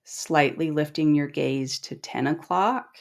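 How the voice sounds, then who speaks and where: A middle-aged woman leading a guided meditation in a calm, gentle, instructing manner with long pauses. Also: low-pitched. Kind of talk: guided body scan meditation.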